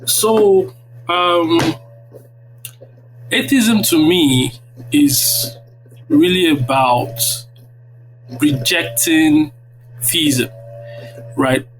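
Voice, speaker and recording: A man's voice: on the high side, extremely slow, pauses often. A man in his thirties with a Nigerian accent, answering interview questions like a lecturer, phrasing hesitantly and checking with tags. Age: 30s. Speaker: guest, university lecturer. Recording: very noisy online audio-room connection.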